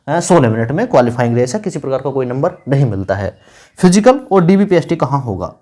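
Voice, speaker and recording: Guy, slightly high voice, explaining stuff to exam candidates, repeats the important bits. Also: a little mellow. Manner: coaching, repeats key points for emphasis. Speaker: exam-guidance YouTuber advising police recruitment candidates. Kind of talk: informal instructional video talk.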